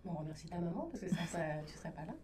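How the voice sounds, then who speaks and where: A woman co-hosting a studio radio interview, chatty and quick to help the guest with words. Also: lowish voice, lively intonation, background noise.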